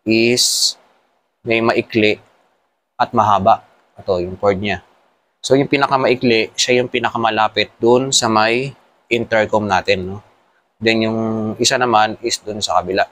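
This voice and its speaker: Male Filipino motovlogger, slightly deep voice, casual explanatory delivery for a how-to guide. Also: fairly bright tone, a little hiss or hum. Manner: explanatory, mixing Tagalog with English terms. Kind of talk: casual hands-on tutorial.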